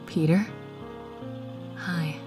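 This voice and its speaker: A caring young wife , played by a woman, talks slowly and gently, with clear music behind her. It is a tender comic dub performance. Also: low-pitched, steady tone. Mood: gentle, caring. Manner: gentle, unhurried. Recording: music under the voice.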